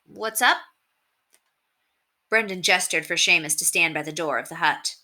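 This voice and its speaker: A woman narrating a novel aloud in an expressive storybook reading style. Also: low-pitched, brisk.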